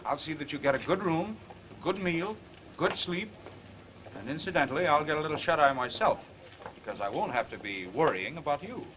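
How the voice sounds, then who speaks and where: A man's voice: a little high, expressive, a little fast, a bit bright. An actor playing a brash, flirtatious, stubbornly protective newspaperman with an American accent, bantering in slangy, persistent 1930s film style. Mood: earnest, coaxing. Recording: muffled, hissy 1930s film soundtrack.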